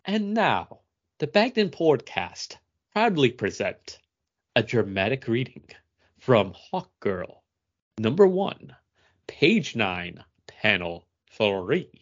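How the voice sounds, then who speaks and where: Man doing a snobby English highbrow presenter, pompous formal announcing, swooping intonation, dull lo-fi audio. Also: very animated, takes it slowly. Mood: grand, pompous. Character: snobby, classy English Masterpiece Theater presenter. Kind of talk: mock-highbrow dramatic reading introduction for a podcast.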